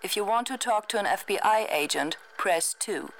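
A woman's voice: medium pitch, lively intonation, moderate pace, fairly bright tone. A woman voicing an impersonal, formal recorded announcer for a telephone hotline menu. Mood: formal, neutral. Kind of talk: automated phone menu announcement in English.

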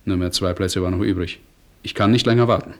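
An actor playing a brisk, discreet British naval commander in a German radio play, businesslike and dryly sardonic. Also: male voice, sits low, fairly even intonation. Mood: brisk, conspiratorial.

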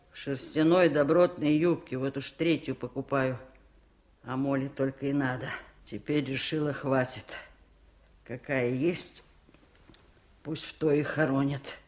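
An actress playing a lonely, wise old village aunt in a 1970s radio play, with plain-spoken, folksy, wry delivery. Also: female voice, very deep voice, darkish tone. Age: older. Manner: plain-spoken folksy musing, wryly stoic. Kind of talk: 1970s radio drama acting.

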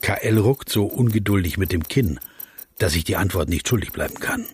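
An actor playing an observant, reflective philosopher narrating a radio play in a measured, introspective way. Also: male voice, deep voice, lively intonation, husky, full character voice. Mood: watchful.

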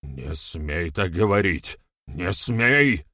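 A man's voice: low-pitched, lively intonation, very slow, bright, forceful tone, no echo, studio quality, full character voice. A man voicing a spiteful, cowardly pagan sorcerer in an audiobook, indignant and blustering.

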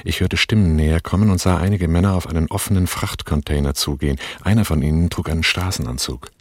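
An actor in a German radio drama playing a cool, controlled gunrunner, terse and matter-of-fact. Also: male voice, low voice, fast, darkish tone, slightly rough. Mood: tense, controlled. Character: Altmaier, cool, controlled male gunrunner who takes command. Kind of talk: German radio drama acting and first-person narration.

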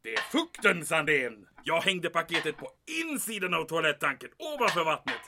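Male actor as secretive military commandant, high swooping voice, clipped officious manner, audio drama, faint background noise. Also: very animated. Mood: composed.

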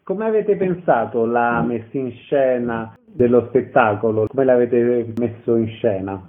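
Guy asking short questions real slow, tinny phone sound. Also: medium pitch. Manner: brief, plain questions. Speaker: interviewer. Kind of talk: casual interview questions.

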